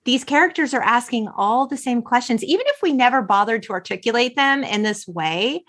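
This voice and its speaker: A woman's voice: a little high, animated, brisk, a bit bright. A middle-aged woman hosting a podcast, talking through a film in a reflective, enthusiastic, conversational way. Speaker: podcast host.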